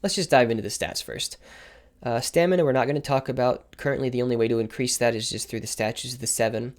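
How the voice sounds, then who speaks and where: A man with a slightly hoarse voice, giving a quick, informal explanation of game mechanics with asides and self-corrections. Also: mid-range voice, steady tone. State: slightly hoarse from repeated takes. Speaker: game guide creator. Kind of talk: explaining game mechanics over gameplay footage.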